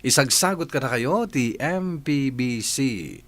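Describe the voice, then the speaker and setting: A man hosting a radio drama, reading scripted letters and narration with expressive, dramatic phrasing. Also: mid-range voice, slow.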